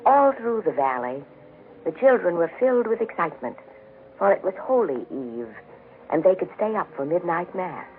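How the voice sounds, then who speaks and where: A man telling a story in solemn 1940s radio narration, with reverent, measured, formal phrasing. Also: high-pitched, big pitch swings, slight background noise. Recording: tinny 1940s radio broadcast with music behind. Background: music.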